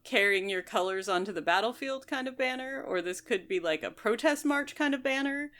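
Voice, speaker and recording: A woman's voice: on the high side, animated, fairly quick, a bit bright. A woman co-hosting a podcast, chatting casually as she talks through a book. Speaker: podcast co-host.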